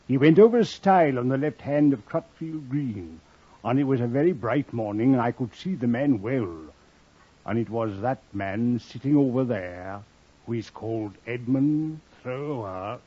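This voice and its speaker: A man acts out an earnest country witness in an old radio drama. His voice is husky and he testifies deliberately. The muffled 1950s recording dulls the sound. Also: mid-range voice, lightly acted. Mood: earnest, solemn. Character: plain country villager, earnest male murder witness.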